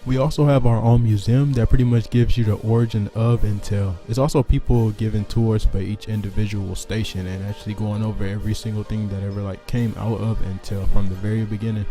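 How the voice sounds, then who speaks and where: A young man in his twenties narrates a vlog in an easy, casual way. His voice sits on the low side, with music playing clearly underneath. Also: flat delivery, a little fast, hardly any pauses, dark tone. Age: 20s. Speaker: vlogger, intern. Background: instrumental music.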